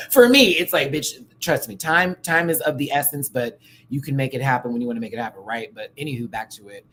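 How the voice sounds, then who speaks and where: American guy telling personal stories on a stream, slangy and candid, mid pitch, bit of noise behind him. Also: animated, brisk, a bit bright, poor audio. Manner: candid, chatty, uses slang and asides. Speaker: livestream host. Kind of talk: casual personal storytelling on a livestream.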